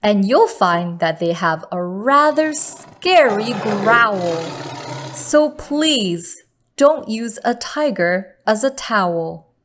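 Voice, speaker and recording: A woman storyteller reading a picture book aloud to children in a deliberate, expressive manner. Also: medium pitch, slight background noise.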